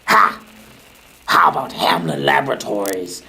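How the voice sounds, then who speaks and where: An actor playing a sadistic, vengeful inventor in an old-time radio drama, menacing and theatrically gloating. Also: male voice, mid-range voice, animated, a little slow, vocal fry, sharp tone, breathy edge, exaggerated voice.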